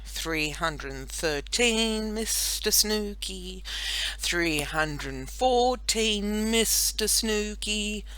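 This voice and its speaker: A woman's voice: medium pitch, lively intonation, pushed and bright, voice pushed up, heavily acted, poor audio. A woman voicing a bored, lonely schoolgirl in a children's story, in a dogged singsong. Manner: slow, singsong counting.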